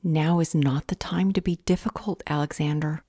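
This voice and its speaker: A woman playing a firm, determined leader in an audio roleplay drama, stern and measured. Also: low-pitched, fairly even intonation, mellow, dry recording, studio quality. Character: firm, determined woman leading a fight against a villain.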